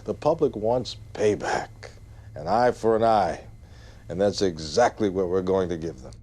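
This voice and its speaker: An actor playing a hawkish political leader in a game cutscene, confident and emphatic like an orator rallying for war. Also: male voice, on the low side, animated, a little slow, a little mellow. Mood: firm, confident.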